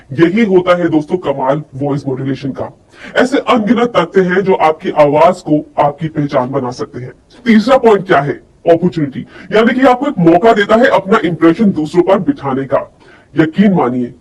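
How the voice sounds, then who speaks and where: A man's voice: high-pitched, darkish tone. A man giving an instructional lesson as a coach, explaining conversationally and putting questions to his viewers. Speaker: personality development coach. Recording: distant mic with faint background noise.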